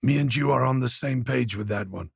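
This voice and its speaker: A man voicing a hardened, cold man who speaks in a low, cutting, sardonic way. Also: a little fast, creaky, husky, no room sound, studio quality. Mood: scathing.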